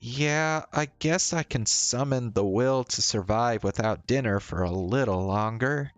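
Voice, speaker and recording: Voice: medium pitch, measured pace, natural voice. A streamer voicing a giddy, mock-dramatic young woman from a visual novel. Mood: petulant. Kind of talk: visual novel character read-aloud. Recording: roomy sound, faint music behind.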